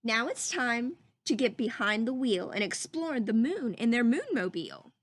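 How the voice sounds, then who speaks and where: High-pitched, very lively woman reading to children. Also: moderate pace. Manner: animated storybook delivery, drawing out words, asking questions. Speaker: teacher reading a picture book aloud to children. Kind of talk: expressive children's read-aloud with questions to listeners.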